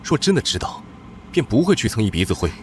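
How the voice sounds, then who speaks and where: A stern war-god brother. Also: male voice, fairly low pitch, big pitch swings, fast, slight background noise. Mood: angry. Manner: curt, scolding. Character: stern young war-god general, her elder brother.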